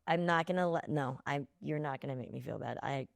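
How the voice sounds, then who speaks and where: A woman's voice: low voice, brisk, gravelly, no echo. A woman in her forties with an American accent, chatting casually as a podcast co-host, blunt and emphatic. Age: 40s. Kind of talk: casual chat.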